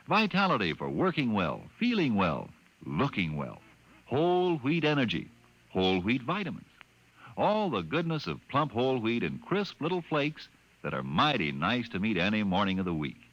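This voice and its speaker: A male American announcer sells in folksy 1950s radio style. His pitch swings widely from phrase to phrase. The recording is old and muffled. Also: fairly bright tone. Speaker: commercial announcer. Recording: dull, band-limited old radio recording.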